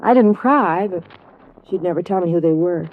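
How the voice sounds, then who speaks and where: An American actress playing a guarded, plain-spoken young roommate in a 1950s radio drama, hesitant and colloquial. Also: female voice, fairly low pitch, moderate pace, close to an everyday voice. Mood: confiding, gossipy. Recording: dull old radio recording.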